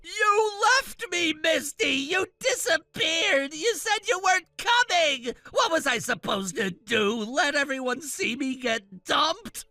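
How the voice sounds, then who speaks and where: Someone doing a frantic, gravelly angry groom. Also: mid-range voice, very animated, measured pace, few pauses, dry recording, faint music behind, exaggerated voice. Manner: shouting, defensive and frantic. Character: desperate, angry young groom.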